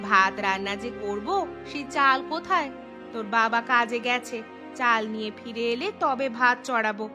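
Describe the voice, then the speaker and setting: A woman's voice: on the high side, moderate pace, lightly acted. A woman voicing a poor, harried village mother in a cartoon, nagging and complaining as she talks. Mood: weary, coaxing. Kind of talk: cartoon story dialogue. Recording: music behind the voice.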